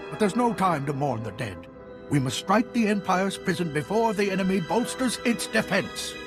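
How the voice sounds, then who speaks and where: An actor playing a determined Republic fleet commander in a video game, speaking with solemn authority. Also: male voice, high voice, big pitch swings, normal speed. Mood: grave. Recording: music under the voice.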